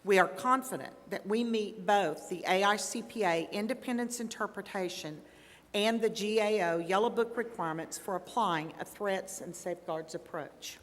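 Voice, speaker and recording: A woman playing a formal, confident auditor in a scripted stage dramatization, reciting in a measured way. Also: mid-range voice, lightly acted. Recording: echoey conference hall sound system.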